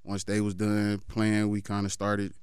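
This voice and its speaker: A laid-back man in his thirties talks steadily in a low, even voice that often sinks into a murmur. His sound is very clear and close, with no room sound around it. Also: a little slow, no echo. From Fort Lauderdale, Florida. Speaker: guest, football trainer. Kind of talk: casual interview talk.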